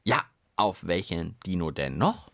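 A man's voice: deep voice, sing-song, a little slow, vocal fry, fairly bright tone, no room sound, studio quality. A man co-hosting a children's science show in casual, playful banter.

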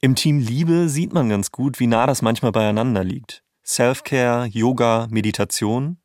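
A man's voice: medium pitch, unhurried, darkish tone. Man narrating in an echo-free-sounding studio style. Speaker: documentary podcast host. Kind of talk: scripted narration.

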